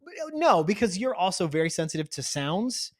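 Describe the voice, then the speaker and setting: A man's voice: high-pitched, sing-song, fairly quick, no echo, studio quality. A man co-hosting a podcast in casual, rambling banter, with a jokey, teasing manner. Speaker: podcast co-host. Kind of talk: casual banter with co-host spouse. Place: home garage studio.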